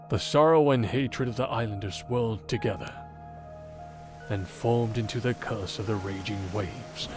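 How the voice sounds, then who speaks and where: A man voicing a game character who recounts an old legend in a measured, formal, theatrical way. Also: mid-range voice, natural voice. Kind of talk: dramatic scripted visual novel storytelling. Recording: game audio with background music.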